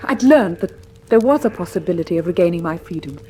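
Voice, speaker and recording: A woman's voice: on the low side, expressive, fast talker, warm tone, a little hiss or hum, close to an everyday voice. A woman playing a proud, guarded, wronged lady with a formal, dignified manner in a radio drama. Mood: pained, confiding. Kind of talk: classic radio drama acting.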